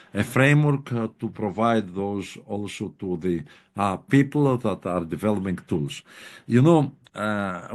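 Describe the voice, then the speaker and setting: Researcher, male, somewhat low voice, halting delivery full of fillers; sounds like a video call. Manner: hesitant, many fillers and "you know". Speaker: presenting researcher. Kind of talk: online seminar Q&A answer.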